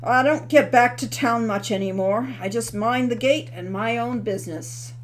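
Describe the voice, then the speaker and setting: A woman doing a careworn middle-aged castle guard who talks in a mild, unhurried way, his voice pitched fairly high. There is a faint hum in the background. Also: natural voice, rough recording. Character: middle-aged gate guard, weary and plain-spoken.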